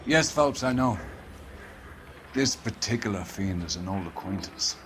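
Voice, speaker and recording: A man playing a stern, righteous police captain in a crime video game, with measured, pompous, grandiloquent speech. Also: deep voice, expressive, a bit bright, a little hiss or hum. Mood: grave. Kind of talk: theatrical crime game acting.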